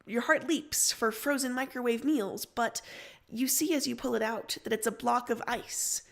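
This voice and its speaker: Higher-pitched woman narrating a story. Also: moderate pace, vocal fry.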